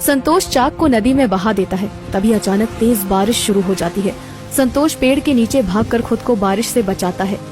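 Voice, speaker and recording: A female storyteller reads a scripted cartoon narration. Her voice is slightly high. Music is clearly present underneath. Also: normal speed. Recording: background music throughout.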